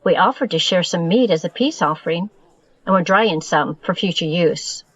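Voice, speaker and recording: A woman's voice: on the low side, moderate pace, husky, dry recording, muffled recording. An actress playing a kind, caring woman traveler who talks in a friendly way.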